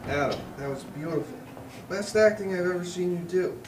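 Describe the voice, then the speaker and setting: A man's voice: high-pitched, moderate pace, close to an everyday voice. A man playing an effusive, supportive male Hollywood film colleague at a live comedy table read. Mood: admiring. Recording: roomy, distant mic, faint noise.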